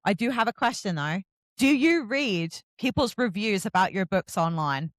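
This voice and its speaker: A woman's voice: mid-range voice, animated, moderate pace, dry recording, studio quality. A woman hosting a casual interview about books, chatting in an effusive, gushing way. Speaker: host, book influencer and reviewer.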